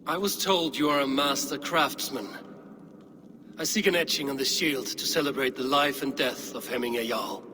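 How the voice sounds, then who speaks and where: An actor playing a steady, commanding Viking warrior leader in a game cutscene. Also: male voice, medium pitch, normal speed, lightly acted. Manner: calm, commanding. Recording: game audio with ambient background.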